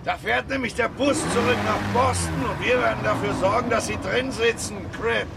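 A woman's voice: on the high side, moderate pace, vocal fry. An actress playing a menacing, commanding woman who extorts a man at sea, in a radio crime drama. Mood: coolly threatening. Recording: loud boat engine and water noise.

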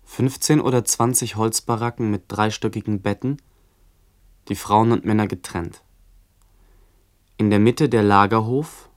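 A man reading scripted documentary narration for a radio play in a matter-of-fact way. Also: on the low side.

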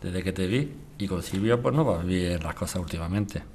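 Man acting an earnest, smitten married man, low voice. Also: moderate pace, darkish tone. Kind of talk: amateur radio drama acting.

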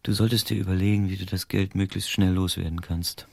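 Deep-voiced, world-weary private detective. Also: male voice, level tone, brisk, gravelly, darkish tone, no echo. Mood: sober, resigned. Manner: emphatic, explaining, colloquial. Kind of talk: German radio drama acting.

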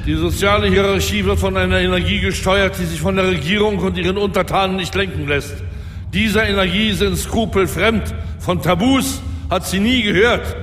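An actor playing an arrogant, powerful crime boss in a radio drama, speaking with haughty, scornful contempt. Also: male voice, high voice, normal speed, a bit bright, faint music behind. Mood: haughty, lecturing. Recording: reverberant room with noisy ambience.

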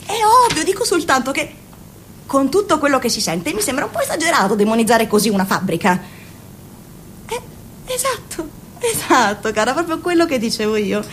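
A woman's voice: high voice, sing-song, a little fast, slight background noise. An actress playing Amanda, a fussy, chatty mother and saleswoman who puts on a cheerful manner, in a radio drama. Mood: phone chatter. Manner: chatty, fussing, falsely cheerful on the phone.

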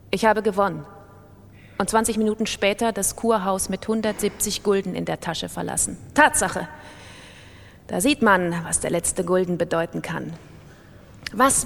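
A woman's voice: medium pitch, close to an everyday voice. A woman playing a ruined, obsessive gambler clinging to hope in a literary radio monologue, fervent and emphatic. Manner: fervent, emphatic, self-persuading. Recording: long reverberant hall.

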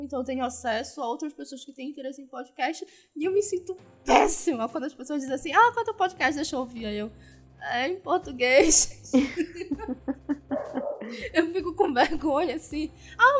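Lively higher-voiced woman podcaster. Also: moderate pace, faint music behind. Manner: animated, self-deprecating, laughs often. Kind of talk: casual podcast chat.

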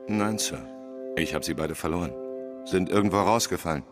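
A man's voice: low voice, normal speed, over music. A man playing a naive, contrite, hapless believer, meek and apologetic, in a German radio crime drama.

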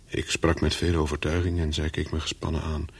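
An actor playing a calculating, persuasive young spy in a radio play, with terse, controlled speech and a husky voice. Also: male voice, a bass voice, little pitch movement, brisk, exaggerated voice. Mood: reflective narration. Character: calculating young male spy, persuasive, looking back as narrator. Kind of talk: radio play dialogue and first-person narration.

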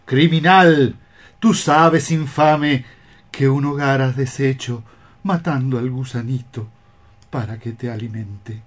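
An actor playing a timid, moralizing young cockroach in radio theatre, reproachful and declamatory. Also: male voice, mid-range voice, fairly even intonation, slow pace. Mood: indignant.

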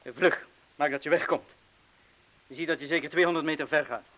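An actor playing a steady, protective bomb disposal man who gives terse instructions in a radio drama. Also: a little high, a little fast, close to an everyday voice, low-quality recording. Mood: tense, firm. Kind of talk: radio play acting. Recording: hissy old radio play recording.

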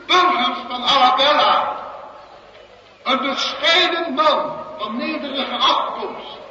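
An actor playing a pompous small-town orator giving a florid ceremonial speech in a radio play. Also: male voice, very high voice, pushed and bright, full character voice. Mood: pompous, solemn. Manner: pompous, declamatory. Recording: muffled far-off outdoor speech, long reverb, hiss.

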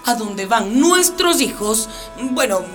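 Prim, scandalized churchgoing mother, high voice. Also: animated, slow pace, music in the background. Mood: alarmed, scandalized. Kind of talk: radio drama acting.

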